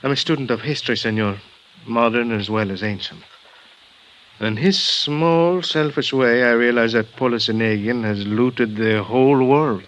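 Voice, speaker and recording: An actor playing a grave, formal Mexican hospital doctor, speaking in a measured, halting way in a 1950s radio drama. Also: male voice, medium pitch, a bit bright, close to an everyday voice, low-quality recording. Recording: old radio broadcast with faint hiss.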